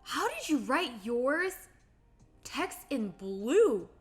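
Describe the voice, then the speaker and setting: A bright, bubbly woman in her late twenties, pitched a little high, chatting with viewers while she sketches. She reads their comments aloud and squeals with excitement. Soft music plays quietly behind her. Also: drawn-out words. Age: late 20s. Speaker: livestream host. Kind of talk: casual chat while drawing on stream.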